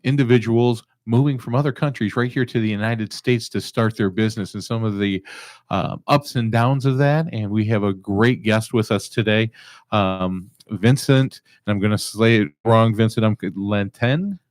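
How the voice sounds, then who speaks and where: A man's voice: on the low side, steady tone, normal speed, no echo, clean studio sound. A man hosting an interview show, rambling a little with fillers as he introduces his guest. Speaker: host.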